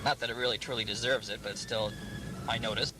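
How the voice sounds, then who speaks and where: A man giving casual, rambling commentary in a halting, self-deprecating way. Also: medium pitch, fairly even intonation, background noise.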